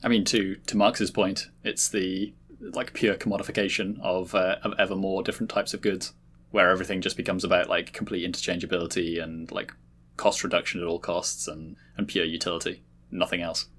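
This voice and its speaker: A man in a casual intellectual discussion, analytical, halting as he searches for words. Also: deep voice, flat delivery, fairly bright tone.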